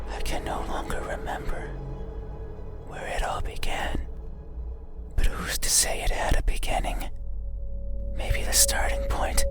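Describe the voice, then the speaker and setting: An actor voicing a lonely, yearning inhuman monster in an intimate, halting whisper for an ASMR horror game. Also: lightly acted. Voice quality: breathy unvoiced whisper. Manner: slow, halting, intimate, stilted speech. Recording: music behind the whisper. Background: music.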